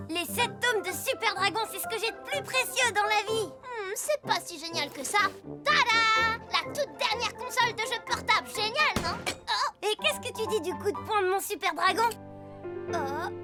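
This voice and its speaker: An excitable young child in a French children's cartoon. The voice is very high and full of eager exclamations. Loud music plays underneath. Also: measured pace. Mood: boastful, amazed.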